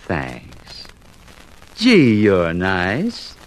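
An actor playing a slick, mocking, commanding blackmailer in a 1950s radio drama. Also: male voice, sits low, huge pitch swings, extremely slow, full character voice. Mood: cold threat. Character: menacing male blackmailer holding a gun. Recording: noisy 1950s radio recording.

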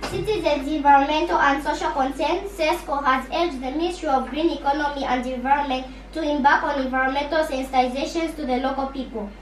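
A young Zambian girl with a very high voice reads scripted news. The sound is echoey and away from the mic. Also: a little fast, hardly any pauses. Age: teenage school pupil. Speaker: pupil newsreader. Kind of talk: scripted radio news reading. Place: small radio studio, recorded by camera. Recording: echoey, distant mic, faint noise.

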